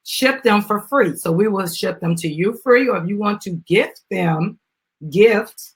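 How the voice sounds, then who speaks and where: A woman's voice: medium pitch, lively intonation, a little slow, slightly rough. An American woman chatting informally to viewers as she hosts a casual live video and promotes a children's book. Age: adult, mother of a young child. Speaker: live stream host, business founder.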